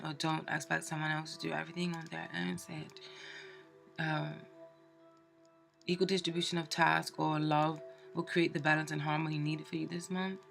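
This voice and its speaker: A woman's voice: low-pitched, gravelly, a bit bright. A woman with a cold, sounding stuffed up, giving a chatty tarot reading with plenty of fillers and restarts. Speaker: tarot reader. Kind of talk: casual tarot card reading.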